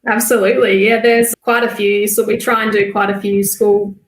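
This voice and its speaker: A woman's voice: medium pitch, flat delivery, normal speed. An Australian woman chatting casually and informally as an interview guest, in an echoey room. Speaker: guest, bird conservation worker. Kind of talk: casual chat.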